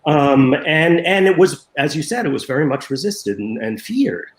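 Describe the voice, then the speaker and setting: A man's voice: fairly high pitch, big pitch swings, normal speed, roomy sound. An older man, a former newspaper design director, answering interview questions in a hesitant way full of ums and restarts over a video call.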